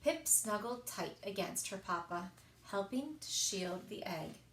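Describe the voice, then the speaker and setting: A woman reading children's stories aloud as a story time reader, in an expressive, animated storytelling style. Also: medium pitch, a little slow. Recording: echoey room.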